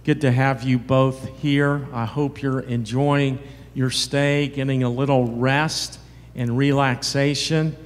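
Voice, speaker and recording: A man's voice: mid-range voice, steady tone, slow. An older man introducing and interviewing guests as the host before a church congregation. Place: large church sanctuary. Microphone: handheld microphone. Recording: reverberant church hall.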